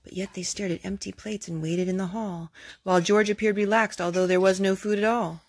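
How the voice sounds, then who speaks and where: A woman hosting a children's storytime, reading aloud in an expressive storytelling manner. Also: medium pitch, a little fast.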